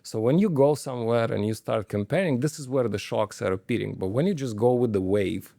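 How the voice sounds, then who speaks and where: A man's voice: medium pitch, a little fast, creaky, dark tone, no room sound. A man, a company manager telling stories in an interview, hesitant, with many fillers and restarts. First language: Romanian. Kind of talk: conversational interview storytelling.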